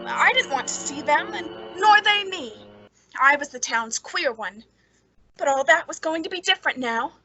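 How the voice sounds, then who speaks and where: An actress playing an anxious, reclusive spinster with a hesitant, fretful delivery in an old-time radio suspense drama. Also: female voice, sits high, expressive, moderate pace, over music, poor audio. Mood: brooding. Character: anxious reclusive spinster, the town's queer one.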